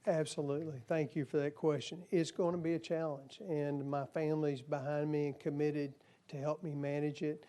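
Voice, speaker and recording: A man's voice: fairly high pitch, flat delivery, normal speed, few pauses, warm tone. A man testifying at a legislative hearing, earnest and folksy, and he is losing his voice. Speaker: commission nominee.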